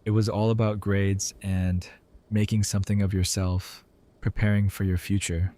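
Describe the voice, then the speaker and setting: Low-voiced actor as a wry young doctor. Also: male voice, little pitch movement, moderate pace, dark tone, natural voice. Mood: sincere, reflective. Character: wry, earnest young male doctor, loyal teasing friend. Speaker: voice actor in a fan audio drama. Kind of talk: conversational audio drama acting.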